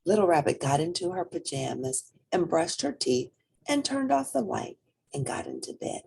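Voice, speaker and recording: A woman reading a children's storybook aloud in an expressive narrating style. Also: on the low side, normal speed, vocal fry, breathy edge. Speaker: storybook reader.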